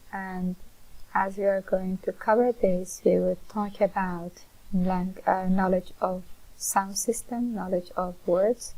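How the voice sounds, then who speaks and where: Persian-accented woman teaching linguistics, slow and careful, mellow low-lit tone. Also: low-quality recording. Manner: measured, explanatory, frequent uh fillers. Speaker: linguistics lecturer. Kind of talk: recorded online lecture.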